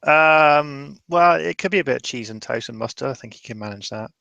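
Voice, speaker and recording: A man playing in a tabletop roleplaying game, improvising casually in a halting way and repeating words. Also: mid-range voice, expressive, gravelly, bright, forceful tone, no room sound, muffled recording.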